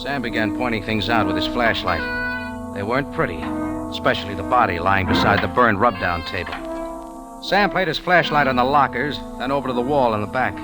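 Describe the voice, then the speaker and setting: An actor playing a hard-boiled American cafe owner, narrating in a clipped, wry, tough way in 1940s radio drama style. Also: male voice, mid-range voice, a little fast, close to an everyday voice. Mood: terse, grim. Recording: old radio recording with music behind.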